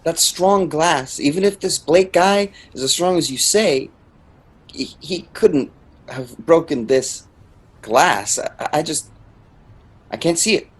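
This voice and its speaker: Husky, doubting man in an acted scene. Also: fairly high pitch, expressive, slow, heavily acted. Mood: doubtful. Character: skeptical, persistent man questioning an official story. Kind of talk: dramatic dialogue, fan-made play.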